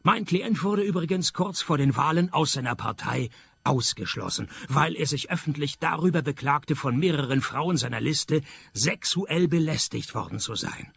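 An actor in a radio play playing a cynical private detective who narrates and questions with dry sarcasm. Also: male voice, a little high, lively intonation, moderate pace, vocal fry, slight background noise. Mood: wry narrating. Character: sardonic, cynical male private detective narrating his case.

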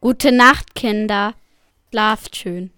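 Slow-paced young performer playing evasive mother. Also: medium pitch, bright, forceful tone, faint music behind. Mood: caring. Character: evasive mother breaking divorce news to her children. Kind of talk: amateur school radio play.

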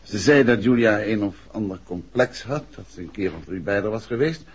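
A man's voice: on the low side, animated, a little fast, breathy edge. An actor playing a composed, courteous male amateur sleuth and affectionate husband in a 1960s Dutch radio drama. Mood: calm, inquiring. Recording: dull, muffled 1960s radio recording.

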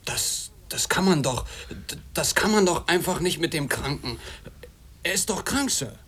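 A man's voice: a little high, expressive, unhurried, a bit bright, a little hiss or hum. A man acting an emotional, blunt and protective sailor in a radio drama. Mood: pleading concern. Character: hot-headed, loyal, protective sailor, male.

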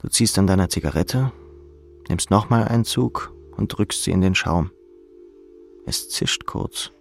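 A man with a gravelly voice narrating a literary audiobook in the second person. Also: low-pitched, unhurried, soft background music. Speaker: audiobook reader.

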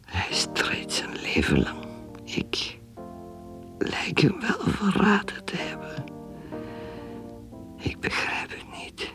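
Troubled female drinker, radio play monologue, breathy whisper, dragging broken phrases, music behind. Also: medium pitch, huge pitch swings, full character voice. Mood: haunted. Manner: halting, fragmented, intense. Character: Anna, troubled woman drinker thinking in anguished secret whispers. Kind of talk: radio drama interior monologue.

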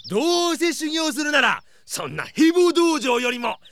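A mocking old dojo rival in a comic audio drama. His voice is extremely high for a man, with a gravelly creak and a forceful edge. He needles people in crusty old-man phrases. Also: big pitch swings, normal speed, a little hiss or hum, exaggerated voice. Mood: taunting. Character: taunting, gruff old father running a rival dojo.

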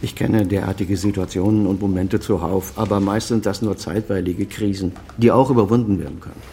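A man's voice: low-pitched, fairly even intonation, fairly quick, warm tone, a little hiss or hum. An estranged father and senior doctor past fifty in a radio play. He speaks with formal, articulate phrasing. He is proud and always defending himself. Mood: firm, dismissive. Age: middle-aged or older, over fifty. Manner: formal, articulate, self-justifying. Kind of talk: German radio drama dialogue.

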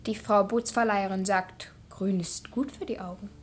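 A woman's voice: mid-range voice, steady tone, normal speed, slight background noise, natural voice. A woman playing an eager, musing village child in a poetic radio drama, reciting short lyrical phrases.

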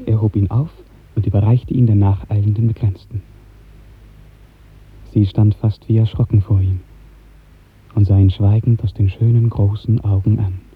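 A man narrating a literary radio drama in a measured, lyrical 1950s storytelling style. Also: on the low side, breathy edge. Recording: muffled old 1950s radio recording.

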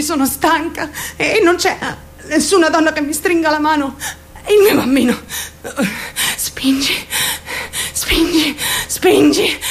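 Obsessive pregnant young woman, high-pitched, raw, volatile, desperate, intense radio drama monologue, some background noise. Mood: tender, exhausted. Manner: raw, volatile, swinging from murmurs to screams.

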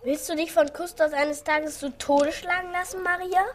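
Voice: very high-pitched, fairly even intonation, moderate pace. An actor in a children's radio play voicing a proud, sulky young orphan boy, blunt and cheeky. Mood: insistent. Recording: studio radio play with faint music.

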